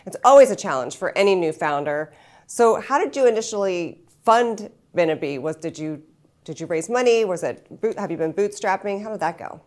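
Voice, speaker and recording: A woman's voice: mid-range voice, lively intonation, a little fast, a little mellow. A woman hosting an interview, asking friendly questions and rephrasing them as she goes. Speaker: host.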